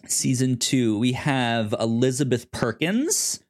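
Podcast host just chatting, slow stop-start talker, pitch moves around a lot. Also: lively intonation. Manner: halting, repeats words mid-sentence. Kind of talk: casual chat about TV.

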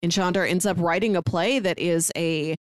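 A woman's voice: fairly low pitch, fairly even intonation, normal speed, dry recording, clean studio sound. A woman co-hosting a podcast, casually discussing films and acting out lines as she retells. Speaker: podcast co-host.

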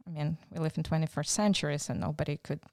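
Low gravelly Ukrainian woman. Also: steady tone, quick pace, darkish tone, no room sound, studio quality. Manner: thoughtful, hesitant with many fillers. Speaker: guest. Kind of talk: casual interview.

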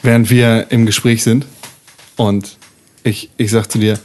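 A man improvising a tabletop role-playing story in a casual way, haltingly, thinking aloud as he goes. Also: lowish voice, level tone, a little mellow.

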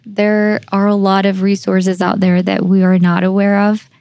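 A young woman hosts a casual discussion in a fairly flat, mid-pitched voice. She phrases her thoughts tentatively and informally, recorded close with little room sound. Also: normal speed, no room sound. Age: young adult. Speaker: podcast interviewer. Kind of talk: casual conversational interviewing.

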